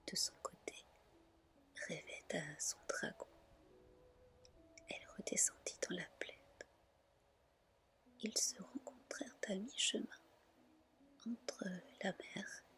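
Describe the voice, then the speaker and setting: Slow whispering woman reading a tale. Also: medium pitch. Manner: soft, gentle, unhurried narration. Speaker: ASMR storyteller.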